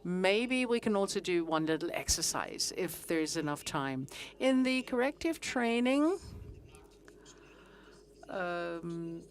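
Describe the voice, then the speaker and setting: A middle-aged woman giving a conference presentation, speaking haltingly with frequent pauses and fillers. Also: fairly low pitch, very animated. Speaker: conference speaker on fitness training.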